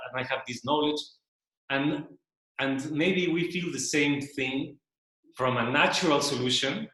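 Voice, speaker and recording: A man whose first language is Spanish, giving a casual spoken presentation in a loft, talking slowly and searching for words, often repeating himself. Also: mid-range voice, a bit bright. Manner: slow, searching, repeats words while thinking. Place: loft room with a small audience. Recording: very echoey room.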